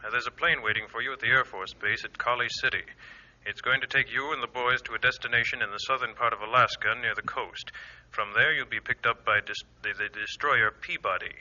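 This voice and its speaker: A man's voice: mid-range voice, brisk, sharp tone, dull audio. A man playing an authoritative, fatherly colonel giving orders in an old-time radio drama. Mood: commanding, solemn. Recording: band-limited old radio recording.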